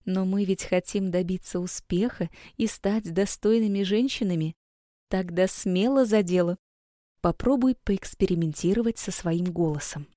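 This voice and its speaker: Female coach narrator, mellow and coaxing, dry close recording. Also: medium pitch, moderate pace, no echo. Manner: soft, coaxing, addresses listener directly. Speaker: women's school coach. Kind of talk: scripted instructional narration.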